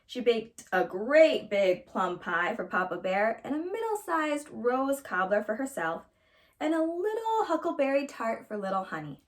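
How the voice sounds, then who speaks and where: A woman reading a children's storybook aloud as a storyteller, in an expressive narrating style. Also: fairly high pitch, a little slow.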